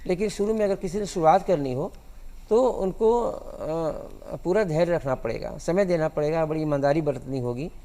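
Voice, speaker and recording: Male interview guest, higher pitched with gravelly fry, explains haltingly. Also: mellow. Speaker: guest cartoonist. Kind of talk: studio interview.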